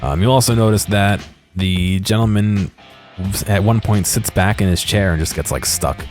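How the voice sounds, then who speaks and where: A man giving unscripted commentary on video game footage in a hesitant, rambling, low-key way. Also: moderate pace, darkish tone, faint music behind.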